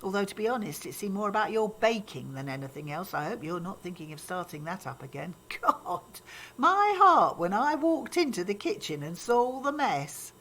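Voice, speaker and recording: A woman's voice: medium pitch, very animated, normal speed, fairly bright tone, rough recording. An older actress playing a fussy, old-fashioned, disapproving grandmother in a naturalistic audio drama. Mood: chiding. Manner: chiding, matter-of-fact, gossipy. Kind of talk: naturalistic radio drama acting.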